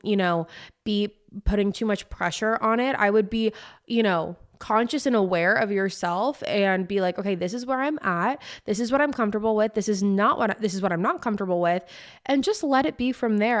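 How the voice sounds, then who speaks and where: A young woman with an American accent chats as a solo podcast host. Her voice sits at an average pitch, and her delivery is informal and effusive, leaning on words and repeating them for emphasis. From Connecticut, US East Coast. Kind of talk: casual chatty solo podcast.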